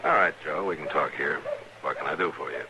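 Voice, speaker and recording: An actor playing a steady, stern American frontier marshal, laconic and firm, in old-time radio western style. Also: male voice, rumbling low, fairly quick. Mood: measured, firm. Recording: noisy old radio drama recording.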